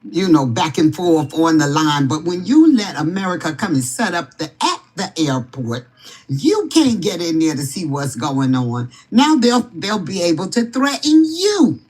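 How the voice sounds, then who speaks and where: A woman's voice: lowish voice, very animated, measured pace, slightly rough. Emphatic woman warning on a live stream. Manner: emphatic, forceful, repeats warnings. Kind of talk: impassioned political commentary.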